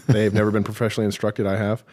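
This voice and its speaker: A middle-aged man with a low voice recounts his military career as an interview guest. He speaks with dry, self-deprecating humor and pauses often with fillers as he collects his thoughts. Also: level tone, warm tone. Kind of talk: relaxed interview storytelling.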